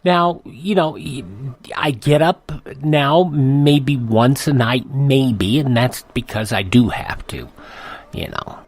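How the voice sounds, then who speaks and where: A man's voice: medium pitch, takes it slowly, no echo. An older man hosting a radio show, reading an ad in a rambling, folksy, conversational way. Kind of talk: conversational ad read and show introduction.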